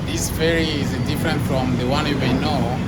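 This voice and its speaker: A man's voice: fairly even intonation, moderate pace. A man with an East African accent chatting casually to his vlog as he goes, exclaiming and repeating himself. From born in Rwanda, lives in Texas. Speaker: travel vlogger. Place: outdoors at a busy ferry among trucks. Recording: loud vehicle rumble, busy crowd noise. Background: vehicles, engines, crowd.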